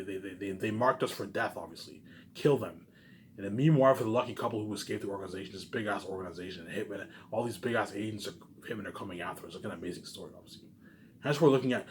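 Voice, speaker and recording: A man giving a rambling, off-the-cuff, boastful monologue in an emphatic and repetitive way, like an amateur filmmaker pitching ideas. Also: fairly bright tone, a little hiss or hum. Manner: repetitive, often tacks on "obviously" as a tag.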